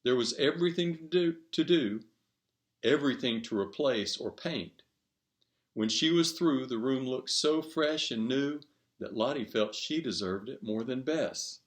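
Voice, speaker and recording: A man narrates a short story at a slow pace. His pitch sits in the middle range, and he moves through the text phrase by phrase in a measured, deliberate read-aloud style. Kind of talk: scripted narration, storybook reading.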